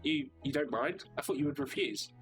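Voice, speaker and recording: A man voicing a sad, weary woman in a taxi who speaks dryly and frankly, in a soft tone, over game music. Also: fairly high pitch, normal speed, voice pushed up, natural voice. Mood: surprised.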